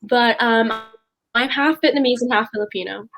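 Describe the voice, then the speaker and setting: A woman's voice: a little high, normal speed, a bit bright, low-quality recording. A sixteen-year-old girl chatting casually as a podcast guest, hesitant and leaning on the word like. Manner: hesitant, frequent "like". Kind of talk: casual personal chat.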